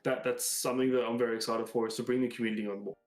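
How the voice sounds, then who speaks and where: A man's voice: mid-range voice, fairly even intonation, rapid-fire. A man in his thirties with an Australian accent, co-hosting a casual card-game chat with an enthusiastic, agreeable manner. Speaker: podcast co-host. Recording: roomy sound with faint music.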